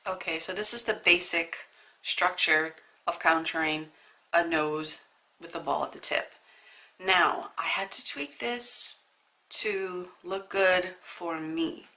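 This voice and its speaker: A woman's voice: lowish voice, takes it slowly, pushed and bright. A young woman with a stuffy nose from a sinus infection, chatting casually through a makeup tutorial with asides to the viewer. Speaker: beauty vlogger.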